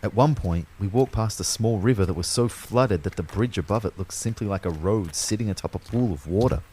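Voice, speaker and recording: A man's voice: lowish voice, a little fast, warm tone, slight background noise, close to an everyday voice. An actor playing a wry, uneasy young man who narrates his own afterlife in an audio drama, speaking in a hesitant, conversational way. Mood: reflective. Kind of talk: first-person audio drama narration and dialogue.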